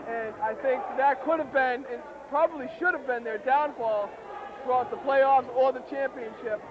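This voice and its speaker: A man doing enthusiastic, emphatic play-by-play at an amateur basketball final, his voice hoarse and wearing out. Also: extremely high pitch, normal speed. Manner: excitable, emphatic. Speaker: play-by-play commentator. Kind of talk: amateur league courtside commentary. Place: courtside at a basketball game. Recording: tinny, noisy outdoor recording.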